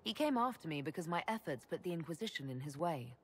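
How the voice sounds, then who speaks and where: A woman's voice: sits low, very animated, fast talker, a little hiss or hum. A woman playing a resolute young fantasy game heroine, firm and direct in her delivery. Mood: serious. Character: resolute young female chosen hero, reluctant leader. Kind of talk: dramatic fantasy game dialogue. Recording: game audio with music behind.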